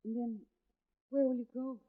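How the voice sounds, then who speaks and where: Female actor, sheltered lonely young woman, polite and earnest, fairly high pitch, 1940s radio drama, thin phone-like vintage sound. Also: level tone, moderate pace, close to an everyday voice. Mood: tender. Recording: tinny narrow-band 1940s radio recording.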